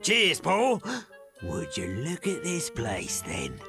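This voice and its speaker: High, cheeky London sewer rat. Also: male voice, huge pitch swings, takes it slowly, full character voice. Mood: chummy, cocky. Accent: English, working-class London. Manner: slangy, matey, brash. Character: cheeky, laddish male sewer rat, uncouth freeloader. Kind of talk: animated game cutscene acting. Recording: music behind the voice.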